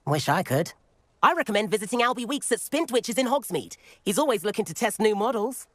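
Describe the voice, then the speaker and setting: A man's voice: high voice, sing-song, a little fast, dry recording. A man playing a cheeky, adventurous teenage schoolboy wizard in a video game, chatty, eager and well-spoken. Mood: friendly, wistful. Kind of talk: video game character acting.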